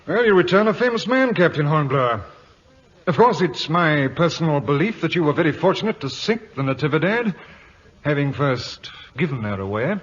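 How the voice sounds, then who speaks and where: An actor playing a pompous, condescending British admiral in an old-time radio drama, insinuating under silky politeness. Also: male voice, a little high, expressive, normal speed, husky. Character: haughty, insinuating British admiral, smugly proprietary. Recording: dull, muffled vintage radio recording.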